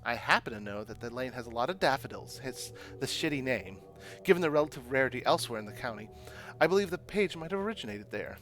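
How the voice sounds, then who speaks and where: A man puts on a bossy young university woman from a visual novel. The delivery is imperious and self-important, with swinging pitch. Music plays underneath. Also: lively intonation, quick pace, a bit bright, close to an everyday voice. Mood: smug, imperious. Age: young adult. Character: haughty, bossy young woman student obsessed with her thesis.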